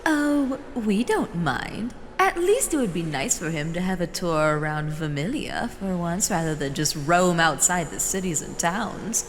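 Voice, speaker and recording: Woman acting as slow, swooping, doting matriarch in reverb. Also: fairly low pitch, lively intonation. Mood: pleased, grand. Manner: drawn-out, sweetly condescending, theatrical. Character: doting female elder of a hive-mind family, theatrical and possessive. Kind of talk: scripted fan audio drama acting. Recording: reverberant hall, faint noise.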